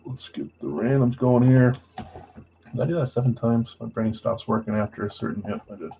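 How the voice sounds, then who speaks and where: A man's voice: steady tone, a little fast, vocal fry, highs cut off, slight background noise. A man hosting a live trading card break stream, casually reading out buyers and spots in a matter-of-fact voice he calls boring. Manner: self-described boring voice, low-key and matter-of-fact.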